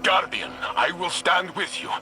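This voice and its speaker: A man's voice: high voice, sing-song, unhurried, sharp tone, soft background music, exaggerated voice. A man playing a steadfast, earnest heroic warrior in a video game, speaking with impassioned, emphatic delivery. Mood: resolute. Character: steadfast, passionate heroic male warrior pleading for mercy. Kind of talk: dramatic video game acting.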